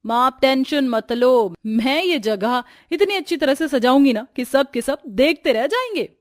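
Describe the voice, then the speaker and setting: A woman's voice: high voice, animated, unhurried, no room sound, clean studio sound. A woman voicing a sly, eager young sister-in-law with a perky, teasing manner in a cartoon story.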